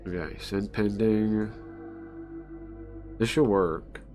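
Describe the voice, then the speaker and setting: A man's voice: on the low side, takes it slowly, a little mellow, soft background music. A man livestreaming casually, thinking aloud, muttering to himself and repeating phrases as he goes.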